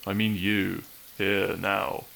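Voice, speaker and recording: Casting: male actor as melancholy young best friend, gravelly, wry and halting, intimate roleplay audio with noticeable background ambience. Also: deep voice, flat delivery, fairly bright tone, a little hiss or hum, full character voice. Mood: subdued, vulnerable. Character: depressed young man, dry-witted, awkward, confessing to best friend.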